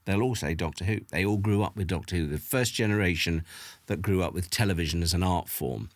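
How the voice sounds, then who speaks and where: A man's voice: low voice, a little fast, dry recording. A man giving his opinions emphatically and conversationally in a documentary interview.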